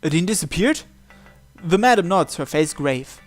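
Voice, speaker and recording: A man with a slightly high voice reads a visual novel aloud. His narration is theatrical and suspenseful, with game music playing behind him. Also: animated, unhurried. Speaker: visual novel let's player. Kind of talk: dramatic read-aloud narration.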